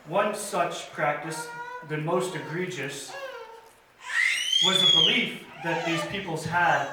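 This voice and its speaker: A man telling a missionary's life story at a church story time, in an earnest, measured way with pauses and small fillers. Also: a little high, noisy background. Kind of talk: children's story time retelling. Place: church sanctuary. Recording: very echoey church hall from a distance.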